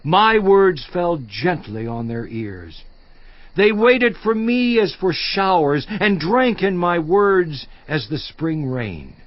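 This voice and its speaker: An actor playing a suffering, once-honored biblical patriarch in a dramatized scripture monologue, theatrical and declamatory with long pauses. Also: male voice, high-pitched, very animated, no room sound, muffled recording, heavily acted. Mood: proud, wistful remembrance. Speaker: actor in a dramatized audio Bible.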